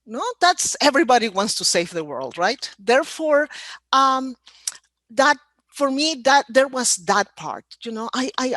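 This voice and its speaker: A woman's voice: a little high, fairly bright tone, no room sound. A middle-aged woman from Peru with a Peruvian Spanish accent, speaking as an economist on a video panel, rambling with frequent fillers and restarts. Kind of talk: virtual panel talk. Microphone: video call.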